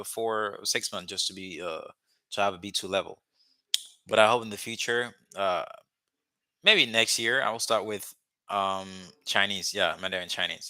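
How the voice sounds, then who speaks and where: A man's voice: deep voice, sharp tone, no echo. A man whose first language is Haitian Creole, from Haiti, chatting casually and rambling to viewers on a live stream about learning languages, with many fillers and restarts. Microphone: webcam or phone mic, speaking to camera.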